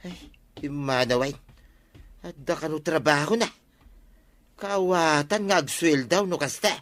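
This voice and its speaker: A stingy, blustering village husband in an Ilocano radio comedy drama, with a bright, forceful, somewhat high male voice. He grumbles and exclaims in an Ilocano accent. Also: unhurried. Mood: surprised, mocking.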